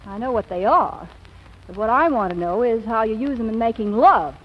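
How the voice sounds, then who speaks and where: An actress playing a spirited, teasing young wife with an arch, sardonic manner in 1940s radio style. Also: female voice, fairly high pitch, moderate pace, close to an everyday voice. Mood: dry, exasperated. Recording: muffled, noisy vintage radio recording.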